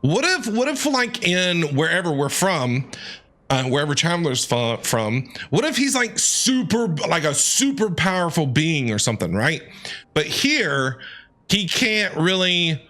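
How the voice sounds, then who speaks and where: Guy game streamer, chatty and teasing, bright voice that jumps all over. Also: a little high, very animated, measured pace. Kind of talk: playful game commentary, reacting aloud to dialogue.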